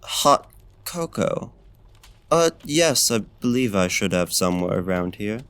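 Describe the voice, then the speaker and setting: Guy doing a deep gravelly vampire gentleman, asmr roleplay, super polite n flirty, faintly menacing. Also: big pitch swings, unhurried, no room sound, heavily acted. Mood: attentive, hospitable. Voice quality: low, gravelly. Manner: formal, archaic, courteous and flirtatious phrasing. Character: courtly male vampire host, smooth, gently menacing and seductive. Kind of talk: ASMR roleplay, slow and intimate.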